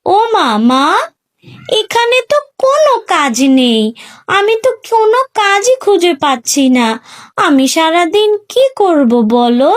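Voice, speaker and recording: A woman's voice: extremely high pitch, big pitch swings, a little slow, heavily acted. A woman playing an innocent, eager little village girl in a cartoon. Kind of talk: Bengali cartoon story acting.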